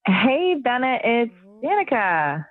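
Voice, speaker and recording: A woman's voice: a little high, big pitch swings, takes it slowly. Hesitant woman on a phone line. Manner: hesitant, awkward. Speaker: radio show caller. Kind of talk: casual phone conversation. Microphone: telephone. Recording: tinny, dry phone line.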